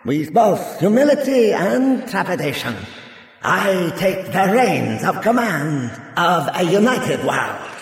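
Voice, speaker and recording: A man voicing a grandiose planetary ruler in an audiobook, declaiming pompously to a crowd with drawn-out words. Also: high-pitched, big pitch swings, roomy sound, in a large hall, pitched above the performer's own voice, heavily acted. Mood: grand, proclaiming.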